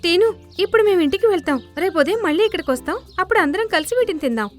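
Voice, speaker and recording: A woman's voice: extremely high pitch, lively intonation, very fast. A woman voicing a small, assertive female bird in a children's cartoon story. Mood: brisk, decisive. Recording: background music under the voice.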